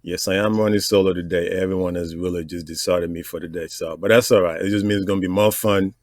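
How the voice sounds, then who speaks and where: A man hosting a podcast interview in a relaxed, easygoing way, often chiming in with short agreements. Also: deep voice, quick pace, no echo, clean studio sound. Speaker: podcast host. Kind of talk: casual interview.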